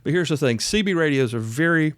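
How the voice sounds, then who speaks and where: A man's voice: a little high, lively intonation, brisk, dry recording. A man in his fifties hosting a solo podcast in a casual, folksy, chatty way, stressing his points and drifting into asides. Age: 50s. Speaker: podcast host.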